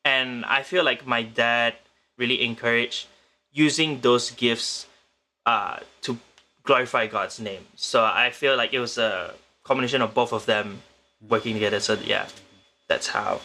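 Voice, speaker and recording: A young man from Penang, Malaysia, a guest musician answering interview questions in a measured, thoughtful way, often restarting his sentences. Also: medium pitch, fairly even intonation, pushed and bright. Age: young adult. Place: remote location in the Philippines.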